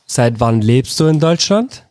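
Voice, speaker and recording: A man's voice: mid-range voice, expressive, a little slow, darkish tone, no echo. Dry-sounding male interviewer from Iraq. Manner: informal, rephrases his questions several ways. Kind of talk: casual youth interview.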